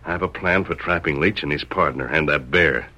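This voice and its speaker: An actor playing a calm, noble masked cowboy hero with measured, formal speech in a radio Western. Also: male voice, very deep voice, exaggerated voice. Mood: calm, explaining. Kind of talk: old-time radio Western acting.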